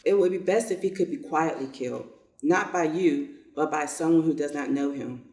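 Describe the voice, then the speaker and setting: A man playing a self-interested, lordly old general in a reader's theater piece, pompous and deliberate. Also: a little high, a little mellow, slight background noise, natural voice. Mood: coolly scheming. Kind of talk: reader's theater stage reading. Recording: echoey room, distant mic.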